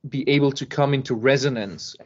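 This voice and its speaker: A man explaining things casually as a podcast guest, with emphasis and pauses to find his words. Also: mid-range voice. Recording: dull lo-fi podcast audio.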